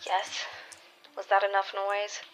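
A woman's voice: mid-range voice, monotone, slow, fairly bright tone, a little hiss or hum, low-quality recording. A woman playing a guarded, dry-witted, reluctant superpowered operative in an audio drama, speaking tersely and naturalistically. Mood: tense.

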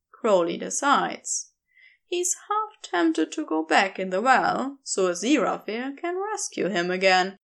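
A woman narrates a story in a high voice with very lively intonation. She reads slowly and deliberately, in an expressive storytelling manner. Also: gravelly. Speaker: podfic narrator. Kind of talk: scripted fiction narration.

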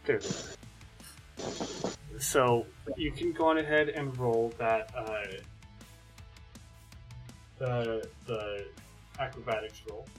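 A man running a tabletop game as game master, narrating casually in a halting, conversational way. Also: medium pitch, steady tone, faint music behind, poor audio.

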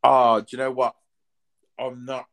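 A man's voice: mid-range voice, flat delivery, unhurried, vocal fry, a bit bright. A man, hosting a podcast in casual chat, rambling with lots of fillers and casual swearing. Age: 30s. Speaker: podcast host.

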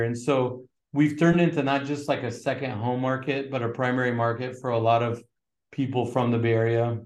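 A middle-aged man from Northern California, a real estate agent chatting casually as an interview guest with relaxed fillers. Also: mid-range voice, reverberant. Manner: relaxed, fillers, flat steady delivery.

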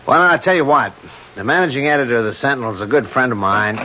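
An actor playing a calm, reassuring police lieutenant in a 1970s radio drama, measured and a little skeptical. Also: male voice, medium pitch. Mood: measured, thoughtful. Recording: dull vintage radio broadcast.

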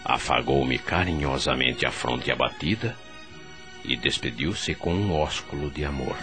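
An actor playing a remorseful doctor in the afterlife, telling his story gravely and sorrowfully in a Brazilian radio drama, with a Brazilian accent. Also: very deep voice, a little slow, music in the background. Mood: moved, grave. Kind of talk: dramatic first-person radio narration.

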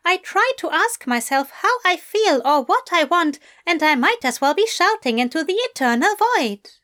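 Fussy, earnest gentleman angel, put on by a woman, high and animated. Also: moderate pace, heavily acted. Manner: bright, fluttery, earnest.

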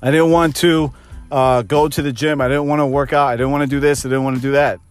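A man's voice: mid-range voice, fairly even intonation, fast talker, clean tone, soft background music. A man of thirty, a fitness coach, hosting his own podcast and giving an earnest motivational pep talk that repeats phrases for emphasis. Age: 30s.

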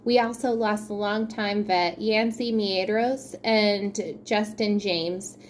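A woman's voice: medium pitch, fairly even intonation, takes it slowly. Casual female podcast co-host, some background noise. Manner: informal, slangy. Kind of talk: casual chat about MMA news.

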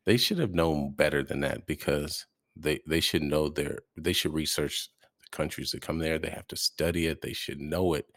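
A man's voice: rumbling low, normal speed, no echo, studio quality. A man hosting a reaction podcast, rambling and hesitant as he comments casually and laughs often.

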